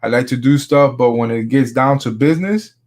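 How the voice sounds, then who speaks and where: A man's voice: mid-range voice, brisk, a little mellow. Laid-back man talking sneakers. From Miami, Florida. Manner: laid-back, slangy, says "bro" often. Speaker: sneaker vlogger. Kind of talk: casual chat.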